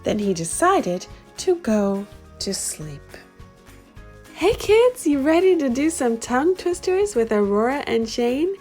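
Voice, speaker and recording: A woman reads a children's picture book aloud, animated and expressive. Her voice rides high and swings widely through each sentence. She reads slowly, with faint music behind her. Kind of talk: storybook read-aloud.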